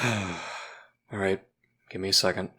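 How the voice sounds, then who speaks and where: A man's voice: deep voice, steady tone, slow, pushed and bright, husky, full character voice. A man acting in an audio roleplay as a jaded, sardonic human youth trapped in a time loop, talking conspiratorially and swearing casually. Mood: wry, confident.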